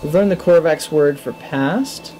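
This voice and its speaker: A man in his thirties giving casual game commentary into a headset, thinking aloud in short, low-key remarks. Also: measured pace, mellow, faint music behind, poor audio. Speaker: gamer, let's play host. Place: indoor room at a gaming setup.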